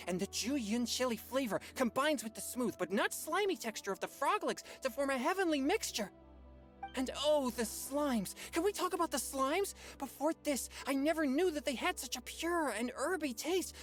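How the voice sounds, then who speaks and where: A man's voice: very high voice, moderate pace, faint music behind. A man playing an earnest, polite teenage boy exorcist in an anime-style game. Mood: giddy rapture. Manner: polite, expressive.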